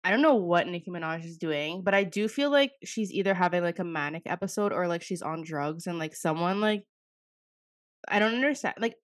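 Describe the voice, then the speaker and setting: A young woman with a fairly low voice, chatting loosely on a podcast and rambling from thought to thought with "like" in nearly every phrase. She sounds a little under the weather. The sound is dry and close, with no room around her. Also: expressive, fairly quick, no room sound. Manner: rambling, says "like" constantly, swears casually. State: slightly sick, voice a bit off. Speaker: podcast co-host. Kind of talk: casual chat.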